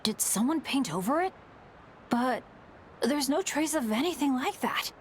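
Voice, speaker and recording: A woman's voice: sits high, lively intonation, unhurried, a little hiss or hum. A woman voicing a spirited, frank young female adventurer in an anime-style video game. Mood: puzzled.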